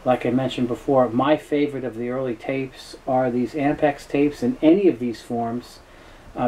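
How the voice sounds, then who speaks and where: Male presenter, mellow tone, halting and factual unscripted explainer, slight background noise. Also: mid-range voice, low-quality recording. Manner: halting, matter-of-fact, knowledgeable. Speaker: tape dealer presenting a product overview.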